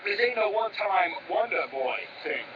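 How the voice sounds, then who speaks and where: A man's voice: high-pitched, big pitch swings, normal speed. An actor playing a broke young man who pleads with his brother, cursing and stumbling over his words. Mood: pleading. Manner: profane, pushy, stammering. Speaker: struggling writer asking his brother for help. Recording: echoey, distant, dull, with background noise.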